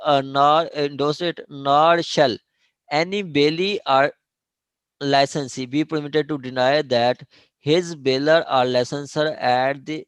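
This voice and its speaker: Male law lecturer, Pakistani accent, medium pitch, explanatory with regular check-ins, dry close sound. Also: smooth, no room sound. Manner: explanatory, with frequent check-in tags and examples. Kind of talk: online law lecture, mixing Urdu with English legal terms.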